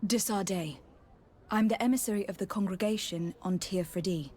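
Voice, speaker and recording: A woman's voice: medium pitch, normal speed, mellow, breathy edge, natural voice. An actress playing a courteous, caring noblewoman emissary in a video game, polite and composed in formal phrasing. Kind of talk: video game dialogue acting.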